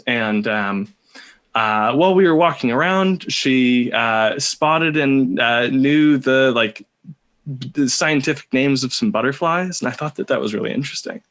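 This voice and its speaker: A man's voice: mid-range voice, fairly bright tone. Hesitant Californian man, casual storyteller. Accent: Californian American. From California, United States. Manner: hesitant, rambling, with many pauses. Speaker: podcast guest telling a personal story.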